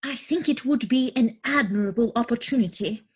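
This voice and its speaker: A woman's voice: a little high, slightly rough. A woman playing a romantic, willful upper-class young lady in a theatrical period comedy, with an affected, gushing manner. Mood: haughty, prim. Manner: affected, gushing, grandly drawn-out delivery.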